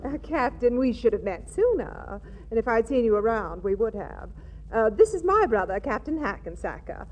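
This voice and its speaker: A woman's voice: a little high, very animated, moderate pace, darkish tone. A woman playing a flirtatious, breezy society princess in a 1940s radio comedy, chatty, gushing and teasing. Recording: muffled old 1940s radio recording with faint noise.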